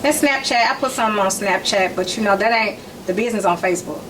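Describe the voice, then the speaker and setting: A woman's voice: mid-range voice, fast talker. Forties lady chatting on a podcast, kinda far from the mic, noisy background. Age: 40s. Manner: relaxed, conversational, speaks in short phrases. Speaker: podcast guest, caterer. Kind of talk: casual chat.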